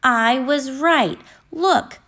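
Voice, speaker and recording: A woman's voice: high voice, very slow, fairly bright tone, voice pushed up, heavily acted. A woman voicing a cheerful, knowing mother talking to her child in a picture book. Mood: delighted.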